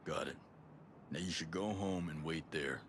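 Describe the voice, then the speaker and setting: Very deep-voiced, steady special forces operative. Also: male voice, moderate pace, a little hiss or hum. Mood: calm, businesslike. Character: steady gruff male special forces operative, quietly threatening. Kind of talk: video game cutscene acting.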